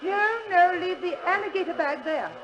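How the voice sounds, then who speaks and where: A woman's voice: very high-pitched, normal speed, dull audio. An actress playing a fussy, flustered lady traveller who exclaims a lot, in 1940s radio drama style. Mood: fussy, imperious. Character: fussy, flustered, shrill female railway traveller. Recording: crowd bustle behind old radio recording.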